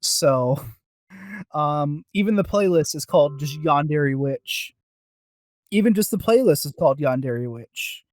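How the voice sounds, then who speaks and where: A man's voice: a little high, animated, measured pace, no room sound. A man co-hosting a casual review podcast, talking in a rambling, self-deprecating way. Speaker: podcast co-host.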